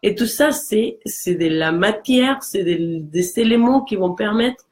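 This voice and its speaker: A woman from Mexico with a Mexican Spanish accent and a low voice, talking earnestly in a casual conversation. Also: expressive, a little slow. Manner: earnest, encouraging, with frequent restarts.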